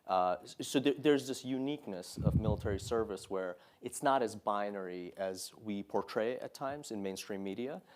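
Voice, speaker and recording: A man in his thirties giving an earnest, measured public talk to an audience as a guest speaker, pausing often on "uh" and "um". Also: lowish voice, darkish tone. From Saudi Arabia. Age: 30s. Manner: earnest, measured, many "uh" and "um" fillers. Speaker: guest speaker, Marine officer.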